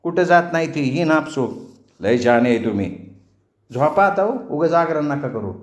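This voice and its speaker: A man's voice: fairly high pitch, lively intonation, normal speed, echoey room, lightly acted. A man voicing an exasperated, protective village mother who scolds and fusses, in a storybook reading. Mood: soothing, impatient. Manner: scolding, fussing.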